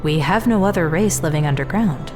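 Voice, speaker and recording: A woman's voice: deep voice, animated, a little fast, music in the background. A woman playing a harried, doubtful middle-aged scientist and mother in an audio drama, guarded and clipped in manner. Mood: puzzled, doubtful.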